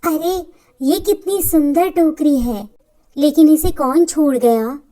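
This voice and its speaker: A woman's voice: sits high, slow pace, warm tone, voice pushed up. A woman voicing a kind, curious young female animal lover in a children's story. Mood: delighted, curious.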